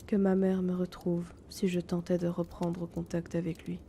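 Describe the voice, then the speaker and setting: A woman playing a gentle, timid, wounded young male potion seller in an intimate fantasy audio drama, speaking softly, hesitantly and often breathily. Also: lowish voice, monotone, moderate pace, a little hiss or hum, natural voice. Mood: sad, fearful.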